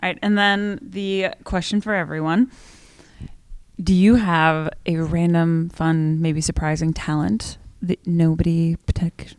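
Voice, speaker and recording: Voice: medium pitch, flat delivery, clear, resonant tone, dry recording. Encouraging host in casual chat. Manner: encouraging, quick to react.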